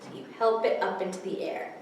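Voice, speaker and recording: A woman's voice: medium pitch, fairly even intonation, brisk. A woman hosting a storytime for small children, teaching and reading aloud in simple, encouraging language. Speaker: children's library storytime host. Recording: echoey room, distant mic, faint noise.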